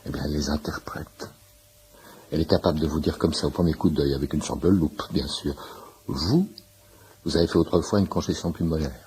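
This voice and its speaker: A man's voice: a bass voice, a little fast, dark tone. A man playing a talkative, nosy shopkeeper who eagerly explains homeopathy in a pedantic way, in a French radio play. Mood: admiring, confiding. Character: chatty, nosy shirt-shop owner, amateur homeopathy enthusiast in waiting room. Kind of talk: naturalistic French radio comedy-drama acting.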